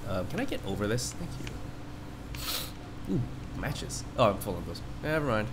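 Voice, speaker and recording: A man's voice: on the low side, sing-song, normal speed, background noise. A man with a stuffy nose from a cold, giving rambling, reactive commentary while he plays a horror game and talks to the screen. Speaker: let's play host. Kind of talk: casual gameplay commentary.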